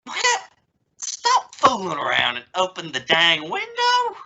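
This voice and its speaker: A woman's voice: lowish voice, huge pitch swings, slow pace, pushed and bright, lo-fi sound, exaggerated voice. Woman playing a flustered radio-comedy dad, swooping wildly. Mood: exasperated. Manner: stammering, sputtering. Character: flustered, exasperated father trying to sound reasonable. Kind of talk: old-time radio family comedy acting. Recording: noisy recording with clicks.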